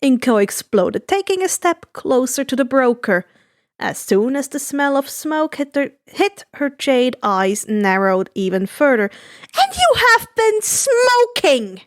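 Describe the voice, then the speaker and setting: High-pitched woman narrating a story. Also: expressive, measured pace, no room sound, studio quality. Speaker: fan fiction reader. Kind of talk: expressive scripted story narration.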